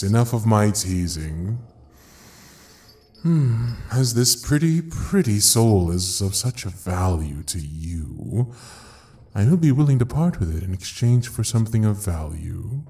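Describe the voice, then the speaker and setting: An actor playing an ancient devil who rules hell, taunting and theatrical in an audio roleplay with a drawn-out delivery. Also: male voice, on the low side, mellow, full character voice. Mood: teasing, calculating. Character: ancient, mocking male devil ruling hell. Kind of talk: dramatic audio roleplay acting.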